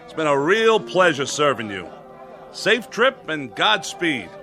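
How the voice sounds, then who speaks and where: A man's voice: fairly high pitch, big pitch swings, unhurried, pushed and bright, full character voice. An actor playing a wry, genial American diner counterman in a radio drama, with a folksy manner. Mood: jovial. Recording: music bed under the dialogue.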